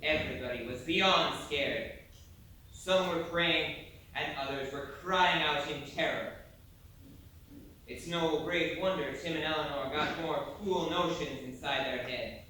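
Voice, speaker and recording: A man acting as an emigrant storyteller, narrating a stage play in a measured, folksy way. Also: fairly high pitch, bright, forceful tone. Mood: grave storytelling. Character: male Irish emigrant storyteller recalling a perilous voyage. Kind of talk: dramatic scripted stage narration. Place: theatre stage, recorded from a distance. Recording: very echoey hall, distant mic, faint noise.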